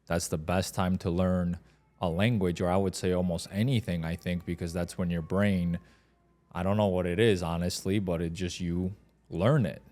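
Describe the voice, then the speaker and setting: A man's voice: sits low, fairly even intonation, moderate pace, a little mellow. A man from Poland, Polish his first language, co-hosting a podcast in hesitant casual chat, full of "like" and "right". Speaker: podcast co-host.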